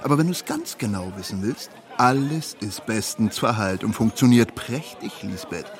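A man's voice: on the low side, lively intonation, moderate pace, lightly acted. A man acting in a German radio drama as a boastful, self-important SS soldier, smug and jovially menacing. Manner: pompous, smug. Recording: crowd murmur behind the voice.

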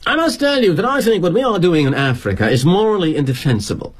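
A man's voice: high voice, very animated, fast talker, breathy edge, voice pushed up, full character voice. An actor voicing an indignant young British male employee, earnest and sputtering with moral outrage in a dramatic monologue. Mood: stiffly earnest. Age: young adult.

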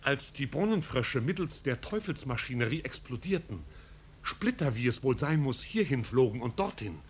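An actor playing a pompous, dutiful servant of a marquis in a 1950s radio play, speaking in stilted, long-winded, ceremonious phrases. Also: male voice, medium pitch, animated, normal speed, natural voice. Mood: indignant reporting. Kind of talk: 1950s German radio drama acting. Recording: 1950s radio recording with hiss.

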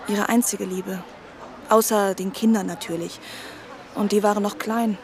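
An actress playing an earnest, outspoken young woman in a naturalistic radio drama, with a husky, breathy voice. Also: mid-range voice, steady tone, normal speed, a crowd in the background, noisy background, natural voice. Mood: wistful. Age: young adult. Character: earnest, outspoken young woman worried about her brother.

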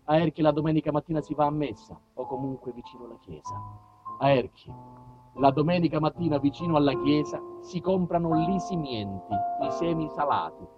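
A man with a southern Italian accent performing an expressive, theatrical comic monologue as a storyteller. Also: on the high side, fairly quick. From southern Italy. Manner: expressive, acts out dialogue, shifts between hushed and shouted. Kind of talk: theatrical comic monologue about small-town life. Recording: dull, distant sound with music.

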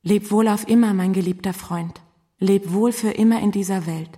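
Actress as sad young woman reading a farewell letter in a radio play, mellow voice, heartfelt. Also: mid-range voice, fairly even intonation, moderate pace, natural voice. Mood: sorrowful, grateful. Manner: solemn, heartfelt delivery. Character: remorseful, grateful young woman bidding a final farewell.

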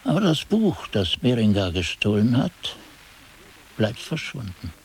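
An actor playing an aged, forgetful monk who recounts haunting events in a radio play, muttering to himself and then exclaiming. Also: male voice, fairly low pitch, sing-song, darkish tone, a little hiss or hum. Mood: grave recounting. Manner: halting self-talk, swinging from muttering to exclaiming. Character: aged monk chronicler, forgetful, haunted, musing over his manuscript. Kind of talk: dramatic radio play narration.

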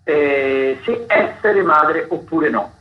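Male radio host, higher-pitched voice, hesitant unscripted listings read, slightly muffled lo-fi sound. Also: animated. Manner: hesitant, halting, self-correcting delivery. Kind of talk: unscripted radio commentary reading from notes. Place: radio studio. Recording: dull lo-fi radio sound, faint background noise.

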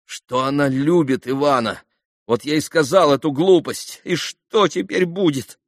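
A man voicing an earnest, gentle young man, sincere and self-reproaching, in an audiobook novel. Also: fairly high pitch, measured pace, no echo, studio quality, voice pushed up. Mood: troubled. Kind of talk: audiobook classic novel dialogue.

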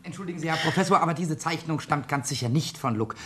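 A man's voice: on the high side, quick pace, pushed and bright. An actor playing a self-assured male pupil in a radio comedy, formal and politely insolent. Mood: defiant, polite. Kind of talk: German radio comedy drama acting.

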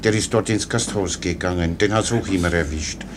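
An actor playing a guileless, chatty, foolish soldier orderly in a German radio play, rambling deferentially. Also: male voice, sits low, fairly quick, close to an everyday voice. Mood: chatty, unruffled. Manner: rambling, naively unruffled, deferential. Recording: clear background noise.

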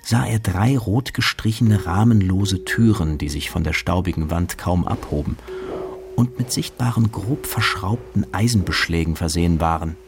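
A man acting as literary narrator of a radio drama, reading scripted prose in a measured, gravelly voice. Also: deep voice. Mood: composed.

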